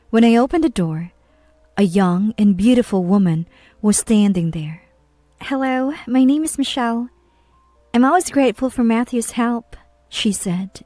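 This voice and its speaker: A woman's voice: mid-range voice, a little mellow, dry recording. A woman reading scripted first-person story narration.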